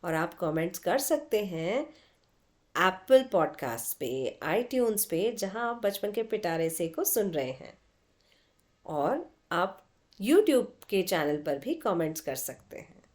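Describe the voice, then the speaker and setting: A woman's voice: on the low side, sing-song, slow pace, a little mellow. A woman storyteller hosting a podcast, narrating expressively and speaking directly to her listeners.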